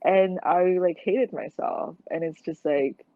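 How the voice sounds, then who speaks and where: A woman's voice: lowish voice, steady tone, takes it slowly, no room sound. Young woman on a tinny phone line. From Malaysia. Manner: hesitant, full of "like" and restarts, earnest. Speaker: guest. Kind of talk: casual conversational interview.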